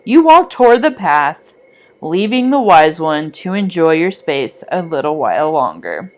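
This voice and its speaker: A woman reading a scripted guided meditation in a soothing, deliberate, gently instructive manner. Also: deep voice, very animated. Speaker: meditation guide, art teacher.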